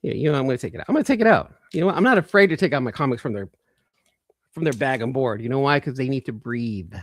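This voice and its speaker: Animated, enthusiastic man hosting a geek stream. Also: medium pitch, quick pace, no echo. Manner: enthusiastic, repeats phrases for emphasis; informal slangy talk. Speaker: host of a geek collecting live show. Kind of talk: casual live-stream chat showing off comics.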